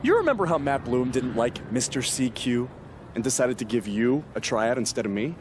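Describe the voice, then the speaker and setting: A man's voice: medium pitch, animated, normal speed, a little hiss or hum, lightly acted. An actor playing a sarcastic, taunting male wrestler in a video game, with a mocking, theatrical, teasing way of talking. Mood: boastful. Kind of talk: acted cutscene dialogue.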